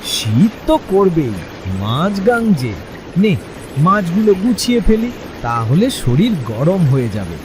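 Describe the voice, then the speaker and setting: Guy doing a loyal cartoon village fisherman, exclamatory, slow, swoops around, bit of background noise. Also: high voice, very animated, exaggerated voice. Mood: reassuring. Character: loyal, anxious village fisherman and devoted friend. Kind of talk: animated moral story acting.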